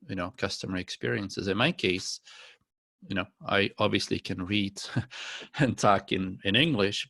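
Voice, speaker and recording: A middle-aged man, a native Dutch speaker, giving a corporate presentation to partners in a relaxed way with many fillers. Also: sits low, creaky, no room sound, studio quality. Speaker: company founder presenting to partners.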